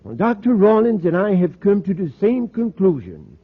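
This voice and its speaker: A tinny, vintage radio recording carries an earnest middle-aged professor. His voice is husky and rather high, scholarly and excitable, with small hesitations as he explains. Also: normal speed, heavily acted. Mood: intense, explanatory. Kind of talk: 1950s radio drama acting.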